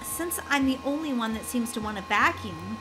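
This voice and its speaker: A woman's voice: a little high, lively intonation, moderate pace, very noisy, close to an everyday voice. A woman voicing a firm, exasperated mother who chides her children in a kids' game roleplay.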